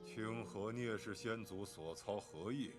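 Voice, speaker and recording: A man playing a strict older clan teacher in an animated drama, lecturing formally. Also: on the low side, measured pace, natural voice. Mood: testing, severe. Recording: loud music over dialogue.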